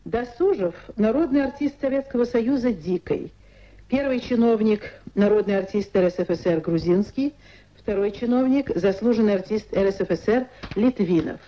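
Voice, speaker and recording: A woman reading a formal scripted radio announcement with measured enunciation, in the old Soviet broadcast style. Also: mid-range voice, muffled recording, slight background noise.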